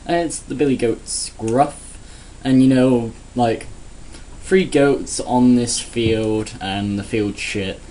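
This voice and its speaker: A man rambles through a sarcastic, crude comedic rant at a mid-range pitch. He speaks in a loose, casual vlog style, and faint noise hums underneath. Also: takes it slowly, warm tone, poor audio. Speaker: vlogger.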